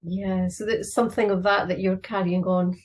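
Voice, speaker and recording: Woman host, mid-pitched, warm-toned prompts on a call interview. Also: little pitch movement, moderate pace. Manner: encouraging, short affirmations. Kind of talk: casual interview.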